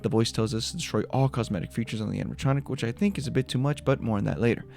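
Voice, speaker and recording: A man's voice: medium pitch, racing, gravelly, darkish tone, soft background music. A man giving a scripted breakdown of a horror video as its creator, in voice-over narration.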